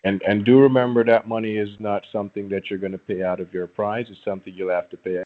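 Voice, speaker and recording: A man on a phone call giving a persuasive pitch as an agent, formally polite but halting and repeating himself. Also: little pitch movement. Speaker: phone caller posing as a prize agent. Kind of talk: persuasive phone sales talk. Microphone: telephone. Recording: muffled, band-limited phone line.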